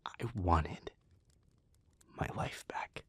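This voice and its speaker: A man's voice: very slow, fairly bright tone, in a whisper. A man voicing an emotionally raw, bitter and defensive male vampire in an intense, confessional audio roleplay. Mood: subdued, regretful. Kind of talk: dramatic audio roleplay acting.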